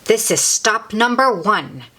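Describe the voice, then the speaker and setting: A woman voicing a sly, grumpy male Grinch creature from a children's storybook, speaking deliberately. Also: medium pitch, animated, sharp tone, full character voice. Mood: gleefully scheming. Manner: sneering, deliberate storybook delivery.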